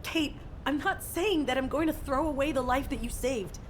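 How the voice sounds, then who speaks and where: A mid-pitched actress playing a determined, battle-scarred young woman fighter in a dramatic video game. She is serious and resolute, willing to sacrifice herself. Clear rumbling noise runs underneath. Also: moderate pace, natural voice. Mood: pleading.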